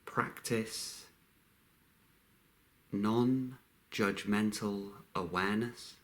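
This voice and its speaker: A man leading a guided meditation in a calm, unhurried way, with long pauses between short phrases. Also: lowish voice, rough recording.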